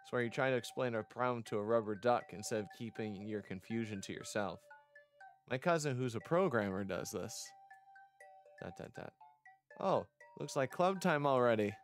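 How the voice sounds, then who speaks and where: A man with a mid-pitched voice reads visual novel dialogue aloud over music. He streams the game and acts out each line, sometimes tripping over the wording. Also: normal speed. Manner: acts out lines, stumbles over words. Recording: music behind the voice.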